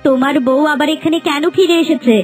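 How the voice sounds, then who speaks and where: A woman's voice: sits high, fairly quick, lightly acted. A woman playing a possessive, indignant young second wife in a cartoon, with a whining, complaining delivery. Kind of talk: melodramatic cartoon acting. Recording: music bed under voice.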